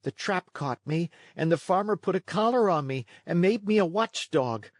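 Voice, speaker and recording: A man's voice: high voice, expressive, normal speed, no echo, clean studio sound. A man voicing an eager, earnest and plucky wooden puppet boy in a storybook read-along. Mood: breathless, rueful.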